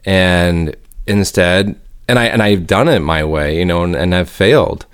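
A young man co-hosting a faith podcast, speaking reflectively and haltingly, with frequent restarts. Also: low voice, clean tone, dry recording, clean studio sound. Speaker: podcast co-host. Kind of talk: casual chat.

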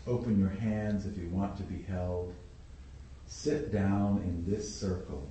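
A man reading a poem aloud to a group, slow and deliberate. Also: deep voice, steady tone, dark tone, a little hiss or hum. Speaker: poem reader. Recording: very echoey, distant room mic.

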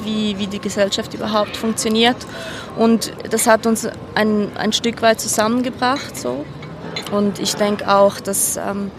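A woman giving thoughtful, hesitant answers in a spontaneous street interview. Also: mid-range voice. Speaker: street interviewee, care worker. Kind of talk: spontaneous reflective interview talk. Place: outdoors on an urban street. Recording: clear urban street and traffic noise.

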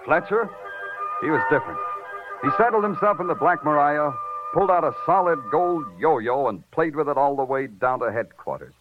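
An actor playing a sardonic, hard-boiled police lieutenant in a noir radio drama, terse and wry. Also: male voice, fairly high pitch, lively intonation, moderate pace, narrow, tinny audio, lightly acted. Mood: brooding, wry. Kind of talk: 1940s radio noir acting. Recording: old band-limited radio recording with music.

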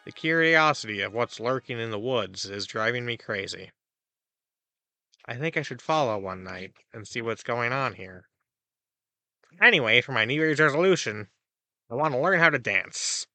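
A man's voice: medium pitch, pushed and bright, dry recording, full character voice. A man playing a cheerful, deluded god who hosts an advice podcast, in a breezy, theatrical comedic audio drama style. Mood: reading a letter.

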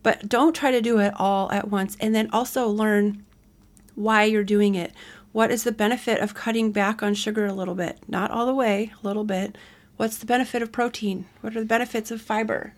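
A woman's voice: mid-range voice, steady tone, fairly quick. A woman in her forties hosting a podcast alone, chatting casually and rambling with frequent self-corrections. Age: 40s. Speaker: podcast host. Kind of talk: casual solo podcast chat.

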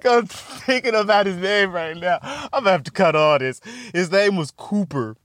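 A man's voice: sits high, moderate pace, fairly bright tone, no room sound, clean studio sound. Laughing, swearing young man who stutters. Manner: laughing, profane, self-mocking. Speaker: podcast host. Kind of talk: casual solo podcast rambling.

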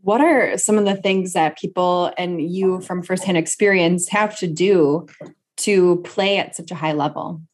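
A woman's voice: lowish voice, unhurried. A woman speaking as a podcast host, introducing and interviewing a guest. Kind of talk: conversational interview with a scripted introduction.

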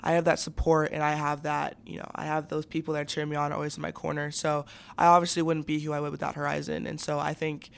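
A young woman in her late teens gives a casual interview. Her voice has a noticeable fry but stays clean and resonant, with fairly flat intonation. She talks in youthful, filler-heavy phrases. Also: low voice, fast. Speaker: student athlete guest.

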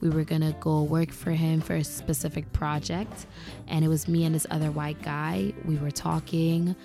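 A woman's voice: deep voice, level tone, normal speed, vocal fry, dark tone, faint music behind. A young woman from Grenada with a Grenadian accent, hosting a casual monologue and talking candidly, a little exasperated. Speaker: podcast host.

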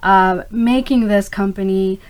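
A woman's voice: mid-range voice, fairly even intonation, unhurried. A middle-aged woman from Pakistan, raised in the United States, a native Urdu speaker, answering interview questions in an earnest, discursive, conversational way. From born in Karachi, Pakistan, raised in the United States from age five. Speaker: interview guest, startup founder.

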